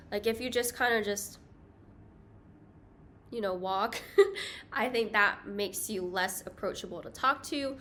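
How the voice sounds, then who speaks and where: Chatty woman with a high voice. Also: fairly bright tone. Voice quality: higher-pitched voice. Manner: chatty, self-deprecating, with light laughs. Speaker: travel vlogger. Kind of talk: casual talking-head vlog.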